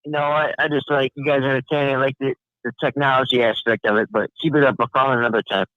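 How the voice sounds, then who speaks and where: A man's voice: medium pitch, fairly even intonation, quick pace, no echo, lo-fi sound. A man from Alabama with a Southern accent calling into a podcast, playful and joking. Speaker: caller.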